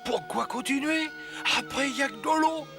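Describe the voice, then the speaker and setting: A man's voice: very high voice, normal speed. A man voicing a skeptical, grumbling sailor in a French cartoon, with a dismissive know-it-all manner. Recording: music behind the voice.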